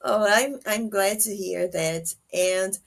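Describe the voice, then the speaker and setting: A woman hosting a casual interview, speaking in halting phrases with pauses between them. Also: mid-range voice, creaky. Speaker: podcast interviewer, host.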